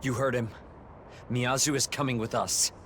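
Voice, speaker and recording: A man's voice: medium pitch, steady tone, normal speed, slight background noise, lightly acted. A man voicing an earnest, protective teenage honor student in a video game, speaking with restraint. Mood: determined. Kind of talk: anime-style video game voice acting. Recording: very loud music over voice. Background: game soundtrack music.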